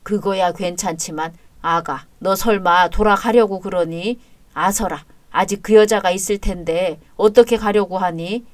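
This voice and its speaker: A kindly older father-in-law speaks in a low, soothing voice, steady and reassuring, as a woman puts him on. Dry, close recording. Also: unhurried, no echo, close to an everyday voice. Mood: gentle.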